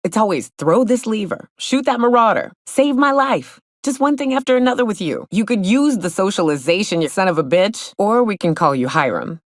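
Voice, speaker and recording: An actress playing a gruff, hard-drinking female monster hunter in a video game, sardonic and blunt, with a loose, earthy drawl. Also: medium pitch, expressive, normal speed, hardly any pauses, no echo, studio quality, natural voice. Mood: exasperated. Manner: sardonic, blunt, swaggering, colloquial swearing. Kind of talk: video game character dialogue. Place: close, dry studio recording.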